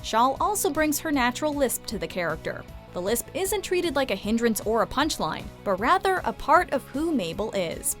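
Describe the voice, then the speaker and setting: Lively high-voiced woman narrating. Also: normal speed, hardly any pauses, soft background music. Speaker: countdown list narrator. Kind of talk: scripted narration.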